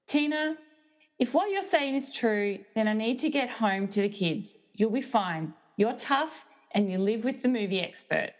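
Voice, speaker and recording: A woman playing a sensible, reassuring mother and friend in a radio play, brisk and casual. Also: on the high side, expressive. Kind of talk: amateur radio-play acting.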